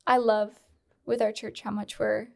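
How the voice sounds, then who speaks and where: A woman's voice: a little high, level tone, unhurried, creaky. A woman in her twenties hosting a podcast, interviewing casually into a close studio microphone. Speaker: podcast host. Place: small indoor podcast studio. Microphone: close boom-arm studio microphone.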